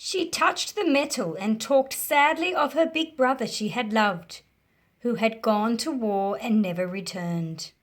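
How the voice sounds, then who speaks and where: A woman reads a picture book aloud for young listeners. Her voice sits fairly high and swings widely in pitch, and she takes her time with each phrase, shaping it expressively. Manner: slow, deliberate, animated delivery for young listeners. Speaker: storyteller reading aloud to children. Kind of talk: expressive children's storybook read-aloud.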